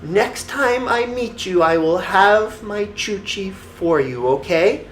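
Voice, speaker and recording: A storyteller voicing a sweet, earnest little boy in a children's bedtime story, with a soft childlike manner. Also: mid-range voice, lively intonation, slow pace, roomy sound, voice pushed up. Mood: earnest pleading.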